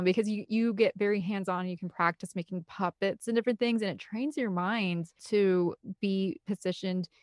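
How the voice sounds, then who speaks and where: A woman's voice: medium pitch, no echo, studio quality. A woman speaking as an interview guest in a casual, rambling and emphatic conversational way. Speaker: interview guest, film composer and director.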